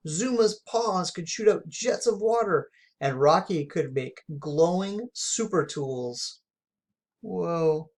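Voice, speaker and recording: An expressive narrator reads a children's adventure picture book aloud. The voice is of medium pitch, with emphatic delivery aimed at young listeners. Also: takes it slowly. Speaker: story reader. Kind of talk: children's storybook read-aloud.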